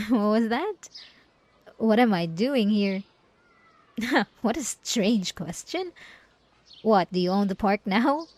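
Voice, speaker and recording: A woman's voice: on the high side, a little mellow, no room sound. A woman playing a possessive, gaslighting ex-girlfriend in an ASMR roleplay, coy and mock-innocent with a sweet menace, speaking straight to the listener. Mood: coy, teasing. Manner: coy, teasing, mock-innocent, drawn-out pet names.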